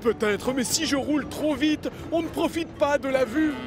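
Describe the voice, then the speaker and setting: A man's voice: very high-pitched, normal speed, over music. A man voicing a timid, anxious, apologetic little tram engine in a cartoon, hesitant and flustered. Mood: dreamy, delighted. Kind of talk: French cartoon dub acting.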